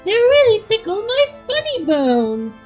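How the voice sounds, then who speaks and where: A woman voicing a hyper, mischievous young female cartoon pony with an excitable, squealing delivery. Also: very high voice, a little slow, faint music behind, voice pushed up, exaggerated voice. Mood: playful.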